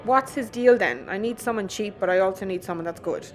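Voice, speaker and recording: A woman's voice: mid-range voice, fairly quick, close to an everyday voice. A woman acting as a blunt, no-nonsense, sweary young crew boss in an audio drama. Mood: businesslike. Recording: music under the voice.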